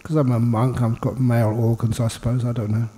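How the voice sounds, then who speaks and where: A man guides a meditation in a relaxed, mellow voice of middling pitch. He talks informally, in short phrases separated by pauses, with a gently humorous touch. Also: long reverb tail. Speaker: Buddhist monk, meditation teacher. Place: indoor meditation hall.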